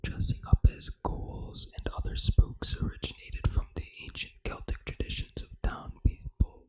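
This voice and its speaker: An ASMR host reading aloud in a hushed, fully unvoiced whisper, with deliberate phrasing. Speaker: ASMR gaming channel host.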